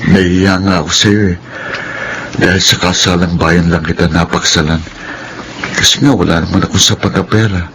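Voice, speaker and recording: A man's voice: sits low, fairly even intonation, normal speed, pauses often, a bit bright. A male actor with a Filipino accent playing a humble, honest young scavenger in a radio drama, earnest and self-effacing. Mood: tender, apologetic. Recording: clear background noise and ambience.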